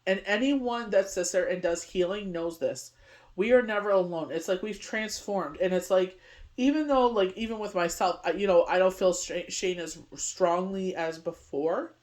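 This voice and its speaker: A woman's voice: mid-range voice, moderate pace, darkish tone. Middle-aged Canadian woman, chatty tarot reader, rambles and leans on fillers. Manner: rambling, heavy on "you know what I mean". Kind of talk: casual daily tarot reading.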